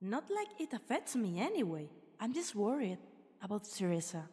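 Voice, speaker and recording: A woman's voice: medium pitch, very animated, moderate pace, dark tone, husky, no room sound, studio quality. A woman playing a brash, cocky, menacing young female mercenary in an amateur game fan dub, with a sardonic, teasing manner. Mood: brooding. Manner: sardonic, foul-mouthed, teasing.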